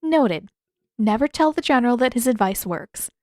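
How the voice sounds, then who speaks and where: A woman voicing a gentle, earnest teenage girl in a fantasy story, soft, tentative and lightly teasing. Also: fairly high pitch, lively intonation, moderate pace, no echo, clean studio sound, voice pushed up, natural voice.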